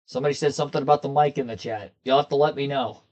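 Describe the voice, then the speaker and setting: A young man with a slightly hoarse voice, chatting casually with viewers as he hosts a racing commentary livestream from a desk in a small room. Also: mid-range voice, fairly even intonation, rapid-fire, darkish tone. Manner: chatty, talks straight to his viewers.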